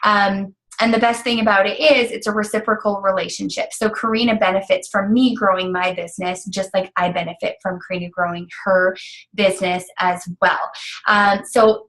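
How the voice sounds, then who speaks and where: A woman's voice: mid-range voice, steady tone, normal speed, fairly bright tone, low-quality recording. A woman talking casually and upbeat, explaining how a coaching business pays, with many fillers. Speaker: network marketing coach. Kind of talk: casual recruiting talk.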